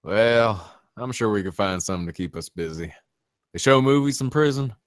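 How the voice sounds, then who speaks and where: A man plays a tough, guarded man with a generous streak in a screenplay table read. His voice sits a bit low and he keeps his delivery terse and offhand. The sound is close and dry. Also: normal speed, clear, resonant tone, no echo, close to an everyday voice. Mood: friendly, insistent.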